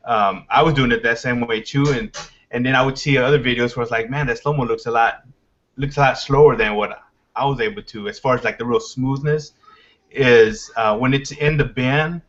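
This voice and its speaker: Texas guy in his 40s on a video call, mid-pitch voice, walks through editing steps plainly, muffled lo-fi audio. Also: normal speed, fairly bright tone. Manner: explains technical steps plainly. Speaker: guest. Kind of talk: casual video call chat.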